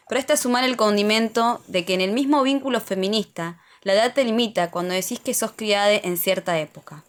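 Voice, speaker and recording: Argentine woman reading out her opinion essay for a podcast, middle pitch. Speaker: podcast speaker. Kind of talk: scripted opinion essay read aloud.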